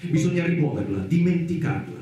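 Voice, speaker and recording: A man's voice: a little high, lively intonation, a little fast, warm tone, dull audio, lightly acted. An actor playing a stern, pessimistic male psychoanalyst in a theatrical radio drama, declaiming his admonishments. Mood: insistent. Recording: echoey, distant, roomy sound.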